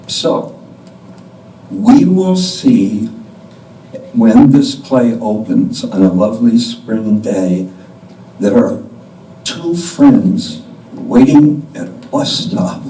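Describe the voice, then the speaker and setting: An older man giving a deliberate scripted introduction to a play from a stage, with pauses. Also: medium pitch, mellow. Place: indoor stage before an audience. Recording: distant mic in echoey room, background noise.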